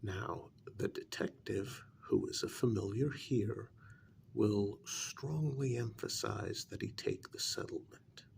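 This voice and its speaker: A man playing a calm, calculating vampire clan member in a soft-spoken ASMR role-play, speaking in a measured, hushed way with deliberate pauses. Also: lowish voice, vocal fry, a little hiss or hum, heavily acted. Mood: calm, scheming.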